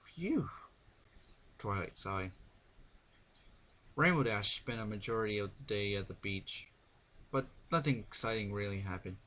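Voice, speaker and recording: A man narrating a story as an amateur dramatic reading, halting and sometimes stumbling over words. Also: fairly low pitch, sharp tone. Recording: noisy amateur home recording.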